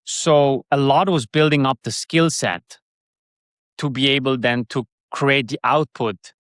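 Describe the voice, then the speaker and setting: A man's voice: medium pitch, unhurried, a bit bright, dry recording, studio quality. A man from Switzerland with a Swiss German accent, a guest in a casual interview, rambling with restarts and fillers.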